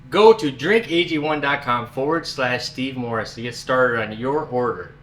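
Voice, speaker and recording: A man giving an upbeat, brisk sponsor read. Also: mid-range voice, a bit bright, a little hiss or hum.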